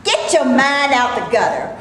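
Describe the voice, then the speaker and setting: A woman's voice: high-pitched, sing-song, moderate pace, fairly bright tone. A woman acting a feisty, fed-up film actress who is stuck playing maids, in a staged play reading, with sassy, indignant colloquial talk. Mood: scornful. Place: echoey room before a live audience. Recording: echoey room with noise, live audience recording.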